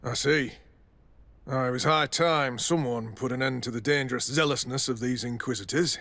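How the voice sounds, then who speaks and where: A man's voice: medium pitch, normal speed, pushed and bright. A man playing a dutiful, courteous military quartermaster in a video game, polite and businesslike. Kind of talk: video game dialogue acting.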